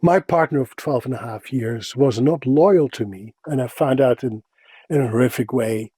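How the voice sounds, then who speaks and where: A middle-aged man from the Netherlands with a Dutch accent, a Dutch native speaker, telling stories in a casual, expressive interview. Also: medium pitch, moderate pace, husky, no echo. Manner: expressive, animated, self-deprecating humor. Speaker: interview guest. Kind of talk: casual conversational storytelling.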